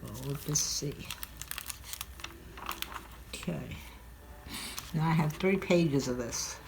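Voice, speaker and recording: An older woman hosting a craft tutorial, chatting and thinking aloud as she works, sounding a little stuffy and hoarse from a cold. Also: low voice, slow. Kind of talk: relaxed craft demonstration. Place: craft table at home, indoors. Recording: audible crinkling craft noise.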